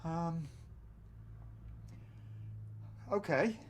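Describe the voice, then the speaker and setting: Subdued, hesitant middle-aged dad. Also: male voice, fairly high pitch, takes it slowly, a little hiss or hum. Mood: reluctant, uneasy. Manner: hesitant, terse. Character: subdued, withdrawn father returning to work after suspension. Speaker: actor in a workplace safety drama. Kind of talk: naturalistic film drama dialogue.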